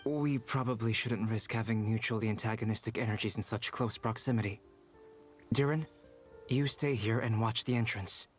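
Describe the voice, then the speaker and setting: An actor playing a calm, analytical young male scholar in a video game, precise and composed as if lecturing. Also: on the low side, normal speed, natural voice. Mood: composed, instructive. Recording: game music behind.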